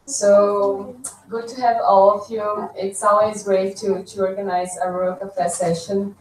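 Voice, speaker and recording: Woman host, halting speech, roomy hall, distant mic, faint hum. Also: mid-range voice, little pitch movement, a little mellow. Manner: hesitant, many restarts. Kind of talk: casual group chat, moderating. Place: conference room.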